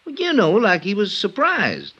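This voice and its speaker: An actor playing a frightened, meek witness in a halting, earnest way, in a 1950s radio drama. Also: male voice, high-pitched, wildly swooping pitch, sharp tone, breathy edge, full character voice. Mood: uneasy recollection. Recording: muffled 1950s radio recording.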